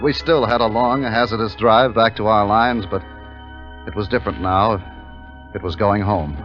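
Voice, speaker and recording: An actor playing an army captain telling of a war raid in a terse, dramatic 1950s radio style. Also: male voice, fairly low pitch, moderate pace, close to an everyday voice. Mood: grim relief. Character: army captain narrating his war raid, steady, wry. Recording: muffled 1950s radio recording, music behind.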